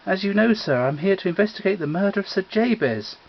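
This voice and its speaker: Male reader as rumpled American detective, long in service; courteous, rambling, varied pitch, lo-fi sound. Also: lively intonation, a little fast, gravelly, heavily acted. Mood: polite puzzlement. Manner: polite, folksy, meandering. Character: crumpled veteran American police lieutenant, polite, shuffling detective.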